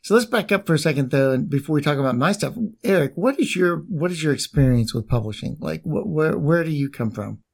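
A man's voice: on the high side, expressive, a little fast, vocal fry, hardly any pauses, darkish tone. An American man hosting a podcast, interviewing guests in a loose, conversational way. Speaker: podcast host.

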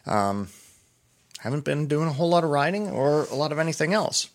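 A man's voice: on the high side, expressive, brisk. Chatty, digressive man podcasting solo. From Pennsylvania. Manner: chatty, digressive, self-deprecating. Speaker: podcast host and doctor.

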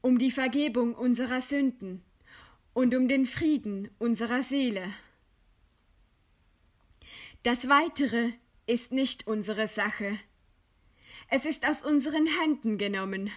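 A woman acts out a condemned idealist in a radio drama, proud and resigned. The voice is fairly high and very slow. Each phrase is measured and solemn, declaimed with dignity. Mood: solemn, resolute. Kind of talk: literary radio play acting.

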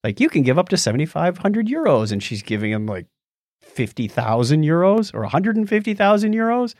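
A man's voice: fairly high pitch, sing-song, a little fast, darkish tone, dry recording, clean studio sound. A man co-hosting a podcast, casually retelling a story in a conversational way with asides. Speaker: podcast co-host. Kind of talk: casual chat, recounting a documentary.

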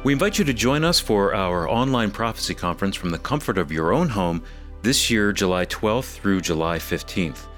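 Over a music bed, a man with a lowish, fairly lively voice reads a scripted announcement. He speaks as a program co-host promoting an event. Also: normal speed.

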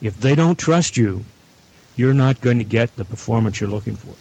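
A middle-aged man giving measured advice as a guest at a roundtable. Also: medium pitch, lively intonation, gravelly, a little mellow, husky, low-quality recording. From Canada. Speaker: audio producer, guest panelist.

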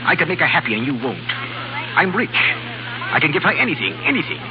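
A man's voice: fairly high pitch, wildly swooping pitch, fast talker, very noisy. A man playing a suave, persuasive rich baron with an urbane manner in a 1930s radio drama. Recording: muffled 1930s radio recording, loud music.

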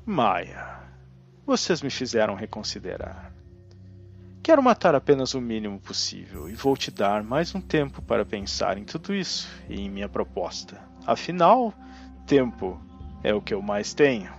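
An actor with a Brazilian accent playing a manipulative undead necromancer in an audio drama, theatrical, grandiose and cajoling. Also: male voice, on the low side, big pitch swings, moderate pace, vocal fry, faint music behind. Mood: smug, cajoling. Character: undead male necromancer ancestor, smug, manipulative, persuasive villain.

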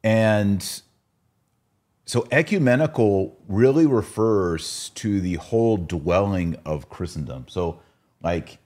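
A man's voice: fairly low pitch, slow pace, a little mellow. A man hosting a casual religious discussion, explaining his opinions emphatically and posing rhetorical questions. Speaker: podcast host.

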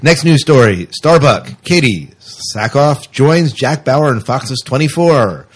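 A man's voice: mid-range voice, animated, slow pace, breathy edge. A man hosting a podcast, chatting casually and giving his opinions freely. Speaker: podcast host. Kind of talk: casual science fiction news chat.

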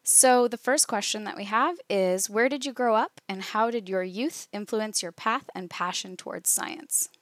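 A woman's voice: mid-range voice, normal speed, few pauses, no room sound. A woman hosting an interview, upbeat and polished, reading a scripted intro and asking questions. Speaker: interview host.

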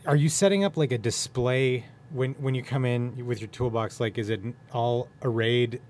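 A man's voice: medium pitch, moderate pace, darkish tone, slight background noise. A man hosting a podcast in a casual chatty style, asking rambling questions and interrupting himself as he goes. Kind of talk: casual chat.

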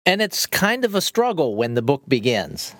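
A woman hosting a podcast interview, friendly and quick to laugh. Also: rumbling low, very animated, fairly bright tone, no room sound. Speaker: podcast host.

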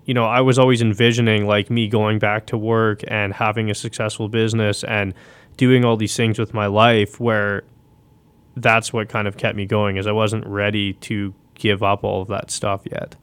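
A man who is a podcast guest, telling his own story reflectively and haltingly, often restarting his sentences. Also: lowish voice, level tone, a bit bright, clean tone, no echo.

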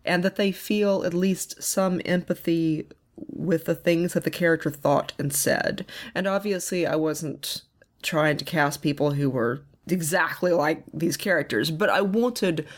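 Woman explaining things in a measured way. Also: deep voice. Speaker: audio fiction producer and contributor. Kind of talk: informal spoken advice.

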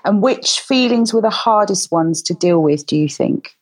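A woman in her forties hosting a podcast, chatty and encouraging, speaking gently to a child guest. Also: medium pitch, expressive, normal speed, slightly rough, no room sound. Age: 40s. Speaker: podcast host. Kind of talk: warm casual interview chat.

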